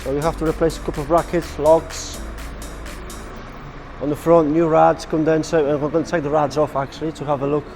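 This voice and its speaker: A man's voice: a little high, level tone, fairly quick, a little mellow, faint music behind. Polish-accented man giving a workshop walk-around. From Poland. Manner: plain, explains step by step to viewers. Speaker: truck repair vlogger. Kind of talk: casual walk-around vlog. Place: truck repair workshop.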